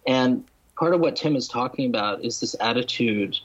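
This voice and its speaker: A man speaking as a guest writer in a literary podcast discussion, halting and deliberate, restating and listing his points. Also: medium pitch, lo-fi sound. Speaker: guest novelist and critic.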